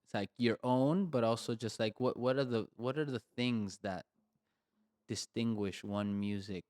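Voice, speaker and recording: A man's voice: medium pitch, a little slow, a little mellow, no echo, clean studio sound. A man from Argentina co-hosting a casual podcast, thinking aloud in a rambling way and filling his speech with "like" and "you know". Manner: thoughtful and rambling, heavy use of "like" and "you know". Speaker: podcast co-host and composer. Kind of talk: casual conversation.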